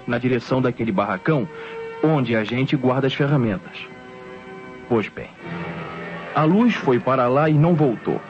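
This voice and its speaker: A man's voice: mid-range voice, natural voice. A Brazilian man acting an eager, conspiratorial young son in an old radio drama, speaking with secretive urgency. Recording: dull old radio recording.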